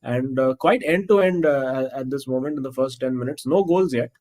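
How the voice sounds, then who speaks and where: A man doing live esports co-commentary on a football video game, talking haltingly with many fillers and restarts. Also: mid-range voice, a little mellow.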